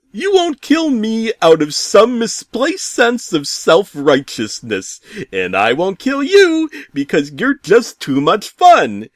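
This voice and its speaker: A man voicing a gloating arch-villain who taunts in a grandiose, drawn-out way as a story's letter writer. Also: high voice, no echo, clean studio sound, voice pushed up, exaggerated voice.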